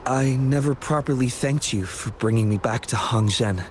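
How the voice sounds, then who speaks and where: An actor playing an earnest, quietly devoted adventurer in a video game, sincere and subdued. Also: male voice, mid-range voice, moderate pace, a little hiss or hum, close to an everyday voice. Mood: reflective, resigned.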